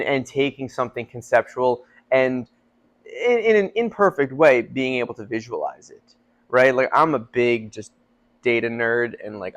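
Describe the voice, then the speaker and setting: A young man co-hosting a faith podcast, talking casually and rambling with lots of fillers. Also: medium pitch. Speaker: podcast co-host. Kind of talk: casual chat.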